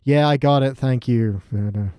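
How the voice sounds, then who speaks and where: A man's voice: mid-range voice, moderate pace, dark tone, no room sound. A man hosting a podcast, chatting casually as he reviews a TV show. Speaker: podcast host.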